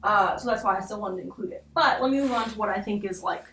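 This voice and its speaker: A woman's voice: mid-range voice, fast talker, fairly bright tone, slight background noise. A young adult woman giving casual video commentary on horror, informal and sweary, with self-deprecating asides. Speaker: video essay host. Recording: roomy echo, distant mic, music underneath.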